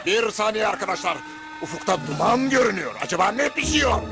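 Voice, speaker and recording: A man voicing an excitable insect contest announcer in a cartoon, grandly theatrical with dramatic build-ups, over music. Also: sits high, quick pace, vocal fry. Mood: urgent commentary. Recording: loud music behind the voice.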